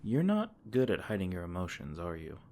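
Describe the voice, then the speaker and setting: A man voicing a gentle, protective male fae king in an ASMR comfort roleplay, soft-spoken and tender, stumbling over his words now and then. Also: low-pitched, wildly swooping pitch, a little fast, heavily acted. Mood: tender, soothing.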